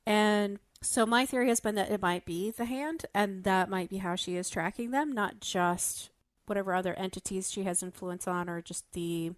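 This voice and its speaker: A woman with a mid-pitched voice talks through ideas in a casual podcast discussion. She qualifies her points as she goes, and the room sound is very dry. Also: normal speed, no room sound. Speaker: podcast panelist.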